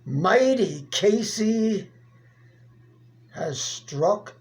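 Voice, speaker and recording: A person reciting a narrative poem in a theatrical, deliberate manner with dramatic pauses. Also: medium pitch, lively intonation, a little mellow. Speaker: poetry reader.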